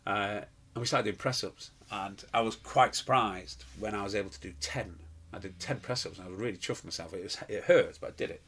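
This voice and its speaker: Husky middle-aged man. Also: lowish voice, fairly quick, few pauses, fairly bright tone. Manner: rambling, anecdotal, many fillers and restarts. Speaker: pantomime performer and guest. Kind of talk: casual interview chat.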